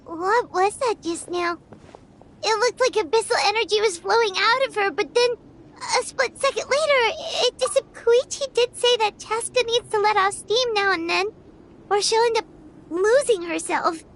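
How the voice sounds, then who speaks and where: Tiny, extremely squeaky companion creature. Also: female voice, moderate pace, a bit bright. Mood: puzzled, worried. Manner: squeaky, fretful, urgent. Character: tiny excitable companion creature, childlike and anxious. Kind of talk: cartoonish game voice acting.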